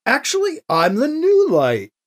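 Man playing a sarcastic priest with swooping pitch. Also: wildly swooping pitch, unhurried, full character voice. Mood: bluffing. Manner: sarcastic, quipping. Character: sarcastic, bluffing male priest, cocky under pressure. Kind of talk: audiobook character acting.

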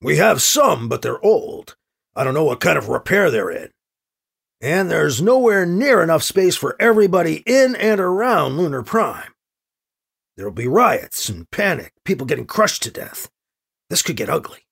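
A man's voice: fairly high pitch, very animated, normal speed, gravelly, a bit bright, slightly rough, full character voice. A man voicing a flustered, inexperienced colonial governor in an audio drama, hesitant and worried. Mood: anxious.

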